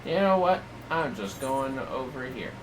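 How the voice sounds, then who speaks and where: Tired, low-key man streaming games. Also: expressive, a little slow, background noise. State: tired, drowsy. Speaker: game livestreamer. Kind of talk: casual gaming livestream chat.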